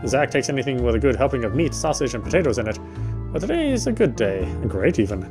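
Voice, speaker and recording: A man's voice: medium pitch, big pitch swings, quick pace, over music. A man reading visual novel text aloud as he plays, stumbling and rereading now and then with casual asides. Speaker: game streamer reading aloud.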